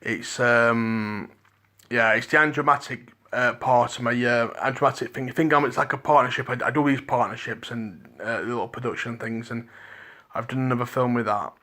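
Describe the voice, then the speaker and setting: Rambling croaky Liverpool man. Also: mid-range voice, steady tone, normal speed, bright, forceful tone. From England. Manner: rambling, restarting sentences often. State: dry croaky voice. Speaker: podcast host. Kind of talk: rambling solo podcast.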